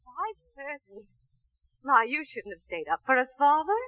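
An actress playing a coach's patient, loyal wife with a gentle, dry manner in 1940s radio drama style. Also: female voice, high voice, big pitch swings, normal speed, narrow, tinny audio. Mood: soft, concerned. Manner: gentle, dryly teasing. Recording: noisy vintage radio broadcast.

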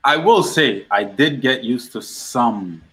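A middle-aged man from Guyana chatting casually as a guest on a talk show, with a medium-pitched voice. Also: expressive, slow pace, a crowd in the background. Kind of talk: casual chat.

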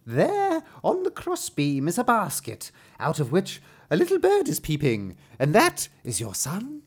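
A man's voice: high-pitched, wildly swooping pitch, unhurried, breathy edge, voice pushed up, heavily acted. A man voicing a helpful little male fairy-tale dwarf who speaks emphatically. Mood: instructing.

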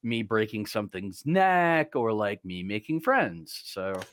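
A man's voice: on the low side, sing-song, unhurried, fairly bright tone, slight background noise. A man playing a tabletop game on a podcast, chatting casually and riffing with playful enthusiasm.

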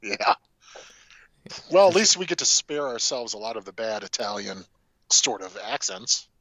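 Man, podcast co-host, sharp forceful tone, wry, informal, casual chat, slightly dull recording. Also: lowish voice, big pitch swings, moderate pace, dry recording.

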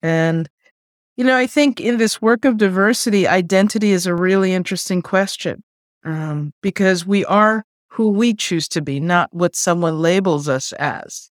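A woman whose first language is Spanish, a guest on an interview podcast, answering in a measured, thoughtful way. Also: fairly low pitch, no room sound, clean studio sound. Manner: measured, thoughtful, speaks in long considered phrases. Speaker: guest, diversity executive.

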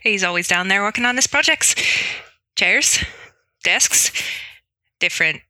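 Woman doing a bright, curt Irish goddess villain. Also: mid-range voice, animated, measured pace, no room sound. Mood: evasive, nervous. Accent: loose put-on Irish, wandering toward Australian. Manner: curt, haughty. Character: irritable, evasive Irish goddess villain, female.

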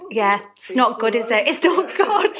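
A woman from South Yorkshire talks with a clear Yorkshire accent, her voice sitting a little high for a woman. She tells stories in an easy, chatty way, leaning on the odd "um" as she goes. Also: sing-song, a little slow. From South Yorkshire, England. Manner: chatty, warm storytelling with frequent ums. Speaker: guest, business owner. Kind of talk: relaxed interview conversation.

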